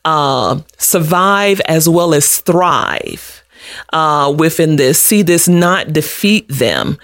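A woman's voice: deep voice, animated, slow, dry recording, clean studio sound. A middle-aged woman answering interview questions as an advocate, explaining things earnestly in a conversational way. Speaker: guest, domestic abuse advocate.

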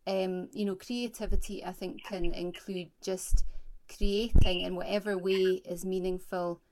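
A woman interviewing an older woman over a phone call, gently and hesitantly with many fillers. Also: on the low side, flat delivery, a little slow, a little hiss or hum. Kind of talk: oral history interview.

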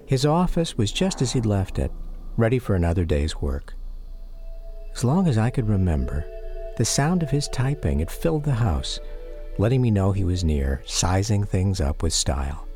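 An American man narrating a scripted radio memoir in a measured, reflective manner. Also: lowish voice, big pitch swings, mellow, soft background music.

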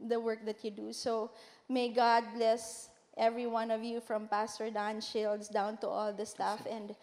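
A woman's voice: a little high, little pitch movement, measured pace, darkish tone. A woman giving an earnest speech of thanks to a church congregation, with a few hesitant fillers. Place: large church hall. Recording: long reverb of a church hall.

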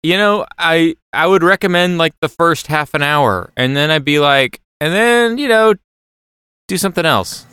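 A man on a podcast panel giving a casual film review in a halting, rambling way. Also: on the high side, expressive, pushed and bright, clean tone, dry recording.

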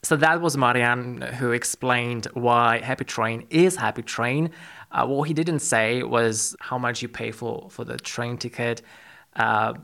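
A male podcast host with a noticeably gravelly, creaky voice at a medium pitch. He speaks conversationally, pausing often as he explains things to a guest. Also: sharp tone.

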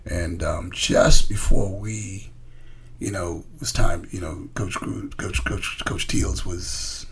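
A man's voice: deep voice, steady tone, slow pace, creaky. A man who grew up in the Bahamas, a coach telling stories casually in an interview, emphatic and often repeating his words. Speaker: college coach being interviewed. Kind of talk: casual conversational storytelling.

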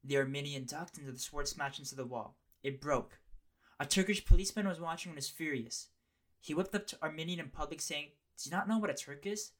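A woman's voice: low voice, brisk, lightly acted. An actress playing a wary, worried young male lover in a radio play, evasive and devoted to family. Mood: grave, worried.

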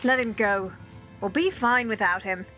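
A woman's voice: medium pitch, measured pace, pushed and bright, soft background music, low-quality recording. A woman playing a sensible, frank fantasy adventurer in an audio drama. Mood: firm.

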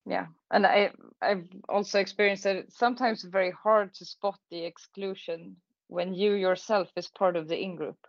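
A woman with a Swedish accent giving reflective thoughts as a panel guest, speaking in measured, halting phrases. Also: mid-range voice, fairly even intonation, vocal fry, dry recording, dull audio. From Sweden. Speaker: panel guest, tech manager. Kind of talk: panel discussion, reflective talk.

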